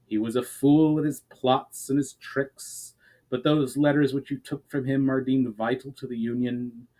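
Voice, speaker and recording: A man's voice: mid-range voice, close to an everyday voice, low-quality recording. Man reading as a resolute, formal elf. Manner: formal, determined.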